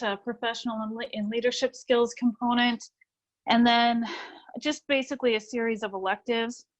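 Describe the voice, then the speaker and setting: A woman's voice: a little high, lo-fi sound. A woman presenting a graduate program in an online info session, friendly, with frequent "um" fillers.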